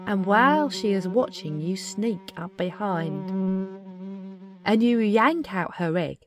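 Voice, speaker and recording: Slow, lively woman reading a children's story. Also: medium pitch, slight background noise. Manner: expressive, deliberate rhythmic delivery. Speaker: storybook narrator. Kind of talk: animated children's read-aloud of a rhyming story. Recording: music behind the voice. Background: music.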